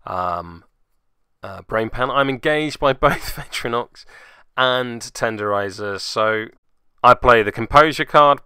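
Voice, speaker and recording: A man's voice: medium pitch, bright, forceful tone, clear, resonant tone, dry recording, studio quality. A man narrating a casual play-by-play recap of a tabletop game as its host, with wry, self-deprecating asides.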